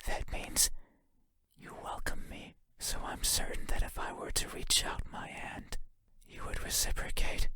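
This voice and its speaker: A man voicing a lonely, curious shadow creature in a horror game, speaking in a breathy, halting, intimate whisper that is softly insistent. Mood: pleading, menacing. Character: eerie wandering shadow creature, lonely, curious, longing to be seen.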